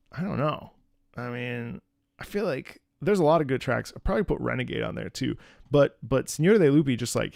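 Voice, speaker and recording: A man with a gravelly, fry-tinged voice talks casually about the music he's reacting to, laughing often and thinking out loud. He's an opera singer from the United States with a mid-range voice. The sound is dry and close to the mic. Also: mid-range voice, no room sound. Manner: chatty, laughs often, talks through half-formed thoughts.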